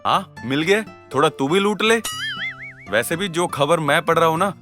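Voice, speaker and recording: A man's voice: a little high, expressive, normal speed, over music, natural voice. A man playing a lovelorn, grumbling village secretary in a comic cartoon, chatty and theatrical. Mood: animated.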